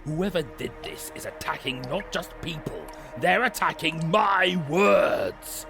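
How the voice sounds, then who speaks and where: A man playing a gravelly, domineering pastor. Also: on the high side, lively intonation, takes it slowly, bright, forceful tone, faint music behind, exaggerated voice. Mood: commanding. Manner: commanding, grave and threatening. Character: domineering male pastor of a grim city chapel.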